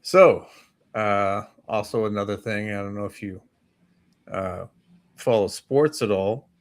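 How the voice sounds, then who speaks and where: Low-voiced easygoing American man. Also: measured pace. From United States. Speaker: talk show co-host. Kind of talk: casual morning podcast chat.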